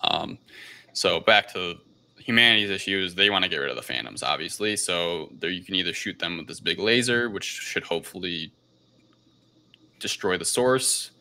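A man's voice: low-pitched, lively intonation, moderate pace, creaky, sharp tone. A man hosting a podcast, recapping a film in casual, loose, informal conversation. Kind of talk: casual film review chat.

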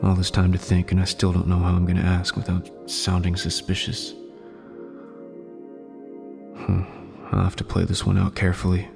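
A man acting a guarded, brooding character in his late twenties in a radio drama, measured and hesitant, with a suspicious edge. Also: low-pitched, monotone, gravelly, over music. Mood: brooding, calculating. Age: late 20s.

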